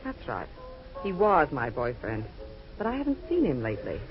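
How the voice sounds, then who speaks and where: An actress playing a tough, guarded nightclub singer in a 1940s radio noir, cool and sardonic. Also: female voice, sits low, huge pitch swings, normal speed, full character voice. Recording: dull old radio recording, faint music.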